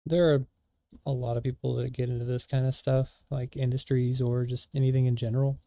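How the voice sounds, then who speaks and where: A man's voice: medium pitch, flat delivery, brisk, dark tone, no room sound. A man giving casual reaction commentary, blunt and profane, ranting with frequent fillers. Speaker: streamer reacting to a video.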